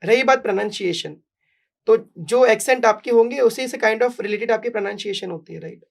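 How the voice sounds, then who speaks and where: Gravelly-voiced Bihari man coaching. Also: high voice, normal speed. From Bihar, India. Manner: mixes Hindi and English, emphatic, repeats "right?". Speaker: English coach, guest. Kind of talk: casual podcast interview.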